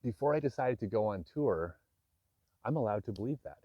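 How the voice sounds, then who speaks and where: A man in his fifties speaks frankly and reflectively to his viewers, mixing self-deprecating asides with earnest admissions. His voice sits low, close and dry, with a slightly dull, muffled sound. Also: lively intonation, brisk, husky, dry recording. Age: 50s. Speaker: vlogger. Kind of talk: candid personal talk to camera.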